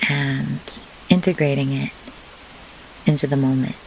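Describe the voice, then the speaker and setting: A woman leading a guided meditation in measured, deliberate phrases with pauses, as a host who works as a grief doula. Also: very deep voice, low-quality recording. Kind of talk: slow guided meditation instruction. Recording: clear pink-noise rain hiss behind. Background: pink noise like steady rain.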